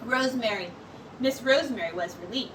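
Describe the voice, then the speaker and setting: A woman teacher reading a picture book aloud to young children in an expressive, animated storytelling manner with dramatic pauses. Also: fairly high pitch. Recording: distant mic, faint background noise.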